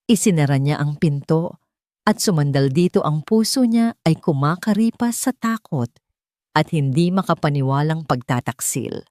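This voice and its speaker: A woman narrates a dramatic story in a low, mellow voice. The recording is very dry and close, with hardly any room around the words. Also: animated, moderate pace, dry recording.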